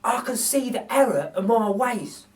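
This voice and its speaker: A man's voice: extremely high pitch, lively intonation, unhurried, pitched above the performer's own voice. A man voicing a good-natured, plain-spoken working-class male burglar with colloquial speech in a children's storybook. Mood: remorseful, resolute. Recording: distant microphone.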